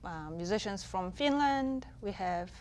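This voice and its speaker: A woman who runs a music festival, answering TV studio interview questions in a measured, somewhat hesitant way. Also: medium pitch, animated, darkish tone, a little hiss or hum. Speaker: festival executive director and musician.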